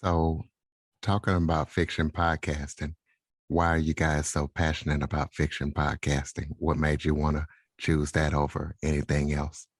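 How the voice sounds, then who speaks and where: A man's voice: very deep voice, little pitch movement, normal speed, dry recording. A man hosting an interview, asking questions in a conversational style. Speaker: interviewer, podcast host.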